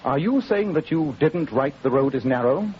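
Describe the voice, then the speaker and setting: A man's voice: a little high, lively intonation, normal speed, lightly acted. A man with an English accent playing a famous detective in a 1940s radio drama, formal, courteous and incisive in his questions. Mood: probing. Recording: muffled old radio recording with hiss.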